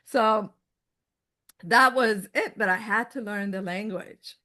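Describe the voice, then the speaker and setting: A woman's voice: medium pitch, slow, sharp tone. A woman in her fifties from Iran with a Persian accent, a guest telling her story in casual chat, rambling with many fillers and restarts. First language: Farsi. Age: 50s.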